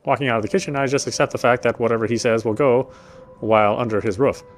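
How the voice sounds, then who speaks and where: A male let's player with a medium-pitched voice reads game narration aloud. He comments casually and sometimes stumbles on words, with light music in the background. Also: fairly even intonation, fast talker.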